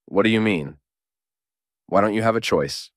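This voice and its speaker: A man's voice: fairly low pitch, normal speed, no room sound, studio quality, close to an everyday voice. A man voicing a stubborn, protective teenage boy in a fanfiction audiobook, pressing insistently with questions. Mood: shaken. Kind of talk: podfic voice acting.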